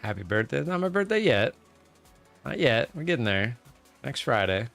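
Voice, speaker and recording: A man's voice: mid-range voice, big pitch swings, normal speed, creaky, fairly bright tone, soft background music. A man in his late thirties chatting casually on a live stream, rambling with many fillers and reading out viewer comments. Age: 30s. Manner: rambling, with frequent "uh" fillers and restarts.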